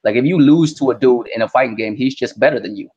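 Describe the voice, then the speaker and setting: A young man from Vietnam, a Vietnamese native speaker, chatting as an interview guest in an animated, slangy way. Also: mid-range voice, quick pace, slightly rough, lo-fi sound. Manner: animated, slangy, lots of "you know what I mean". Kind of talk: casual interview chat.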